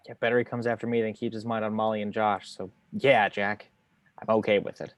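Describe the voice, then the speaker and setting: A man's voice: lowish voice, level tone, a little fast, no echo, lightly acted. A man playing a weary, sardonic FBI investigator in a screenplay table read. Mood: weary, resigned. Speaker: amateur actor in an online table read. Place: remote video call.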